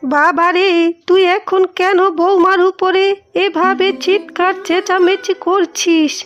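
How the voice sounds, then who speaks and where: Cartoon elderly mother-in-law played by a woman: sly, plaintive, sugary, extremely high voice with little pitch movement. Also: fairly even intonation, slow. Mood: soothing, feigned affection. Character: scheming elderly mother-in-law, plaintive and sweetly two-faced. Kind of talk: cartoon dialogue acting. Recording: dull audio with music behind.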